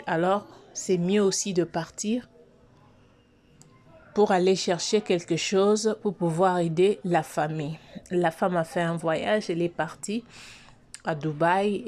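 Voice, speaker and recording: A woman, telling a story to her subscribers at an unhurried, drawn-out pace. Her voice sits fairly low. She hesitates often, stretching her words and restarting her sentences as she goes. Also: darkish tone. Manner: slow, hesitant, many fillers and restarts. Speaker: online storyteller host. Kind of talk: casual spoken storytelling to subscribers.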